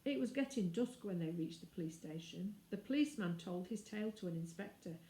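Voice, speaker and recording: A woman reading a children's book aloud as an expressive storyteller. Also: lowish voice, a little fast, echoey room, low-quality recording.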